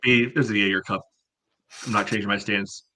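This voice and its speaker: Bright-voiced, lower-pitched man analyzing soccer. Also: steady tone, brisk, a little hiss or hum. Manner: opinionated, analytical. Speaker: podcast co-host.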